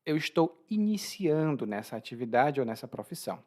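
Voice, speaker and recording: Lively-voiced male teacher. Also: medium pitch, normal speed. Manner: explanatory, frequent restarts and laughs. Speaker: Portuguese teacher. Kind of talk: language lesson explaining expressions.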